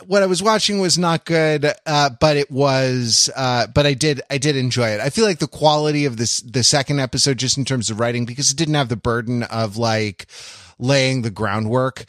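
A man's voice: medium pitch, normal speed, fairly bright tone, no room sound, clean studio sound. A man co-hosting a podcast in casual chat, rambling with frequent false starts and comic exaggeration. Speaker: podcast co-host. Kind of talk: casual panel chat about television.

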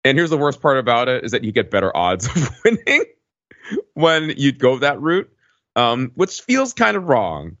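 A man on a podcast casually recounting an experience in a wordy, halting way, often correcting himself. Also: medium pitch, huge pitch swings, fairly bright tone. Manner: wordy, halting delivery with frequent hedging and self-corrections. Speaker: podcast regular recounting a trip. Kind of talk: casual chat, rambling storytelling. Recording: dull lo-fi, roomy echo.

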